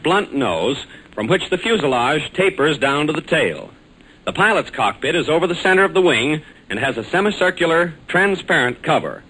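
A man's voice: fairly high pitch, sharp tone, slightly rough. An American man announcing and narrating in a scripted, formal and emphatic 1940s radio style. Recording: noisy old 1940s radio recording.

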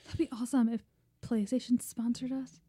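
A woman's voice: on the high side, level tone, a little fast, mellow, husky. A woman co-hosting a casual podcast, teasing with short playful interjections. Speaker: podcast co-host. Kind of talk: casual banter.

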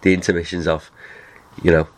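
A man with a very deep voice hosts a casual podcast. His voice is nasal from a cold. He rambles and restarts his sentences. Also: flat delivery, a little fast, rough recording. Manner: rambling, chatty, many restarts. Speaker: podcast host. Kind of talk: casual chat.

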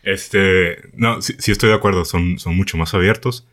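Deep-voiced Mexican podcast host. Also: male voice, normal speed. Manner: relaxed, slangy, full of fillers. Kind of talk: casual podcast chat.

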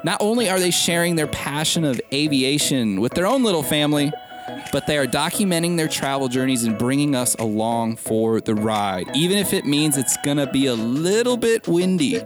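A man's voice: a little high, animated, moderate pace, over music. Upbeat young man hosting a podcast. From Nebraska. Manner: upbeat, enthusiastic, rambling. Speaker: podcast host. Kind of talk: casual podcast interview.

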